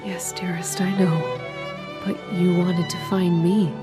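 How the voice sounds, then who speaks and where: Lady doing a spooky dream sweetheart, lowish voice, tender n pushy, breathless old radio melodrama, violin music behind. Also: little pitch movement, takes it slowly. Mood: soft, insistent. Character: dreamlike woman lover, tender, insistent, eerily knowing. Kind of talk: old-time radio horror acting.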